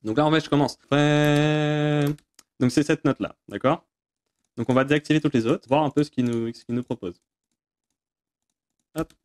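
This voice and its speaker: A man presenting an informal, off-the-cuff software tutorial, hesitant and self-deprecating as he explains things. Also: fairly high pitch, steady tone, moderate pace, pauses often, clean tone. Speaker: tutorial presenter answering viewer questions.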